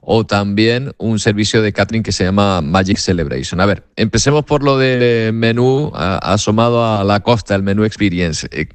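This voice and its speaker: A man's voice: lowish voice, normal speed, hardly any pauses, smooth, no room sound. A man hosting a radio interview, asking questions in a conversational way. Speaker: radio host.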